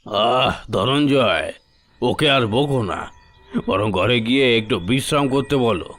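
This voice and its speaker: A male actor voices an old village elder in a spooky cartoon drama. He speaks at a middle pitch in a measured, coaxing way, concerned and fatherly yet used to being obeyed. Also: expressive, a bit bright. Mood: concerned, soothing. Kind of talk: dramatised horror story dialogue.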